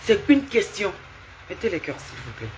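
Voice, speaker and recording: A woman's voice: mid-range voice, very animated, normal speed, a little hiss or hum, poor audio. A woman live streaming, lecturing and scolding someone with repeated rhetorical questions and asking viewers for hearts.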